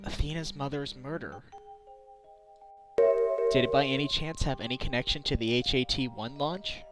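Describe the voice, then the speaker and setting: Man as earnest lawyer, medium pitch, protective, game fandub, background music. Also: normal speed, natural voice. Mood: thoughtful.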